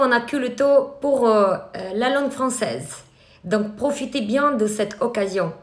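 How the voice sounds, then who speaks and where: Female trainer, Ukrainian accent, higher pitch, hesitant live-stream delivery, roomy echo. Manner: hesitant, many filler sounds and restarts. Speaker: eyelash extension trainer. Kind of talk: live online workshop talk. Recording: echoey room.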